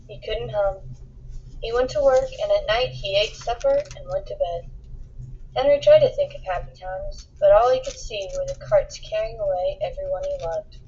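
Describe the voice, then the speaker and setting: A woman reading a children's picture book aloud in an expressive storytelling style, acting out the dialogue with emphasis. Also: medium pitch, highs cut off, slight background noise. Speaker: storybook reader.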